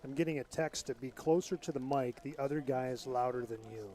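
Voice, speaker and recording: A soft-spoken man giving color commentary on a high school football game in a relaxed, conversational way. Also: a little fast.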